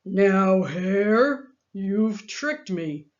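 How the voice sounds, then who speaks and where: A woman voicing a lazy, sleepy, slow-witted male bear from a storybook, with a drowsy, grunting manner. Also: mid-range voice, animated, dull audio. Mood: indignant.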